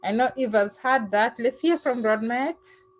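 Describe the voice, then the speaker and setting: A woman with a fairly high voice hosts a live call-in stream. She talks casually and gushes her thanks to callers. Her speech is relaxed and conversational. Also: a little slow. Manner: warm, effusive thanks, mimics other accents playfully. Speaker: livestream host.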